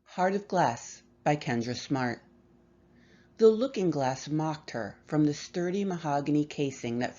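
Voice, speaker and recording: A woman reading a horror story aloud with measured, expressive delivery and dramatic pauses. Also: sits low, dull audio. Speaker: story reader.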